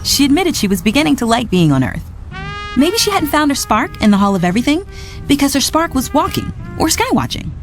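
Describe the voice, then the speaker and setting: A woman narrating a read-along storybook in an expressive, scripted storytelling style. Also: high voice, fairly quick. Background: music.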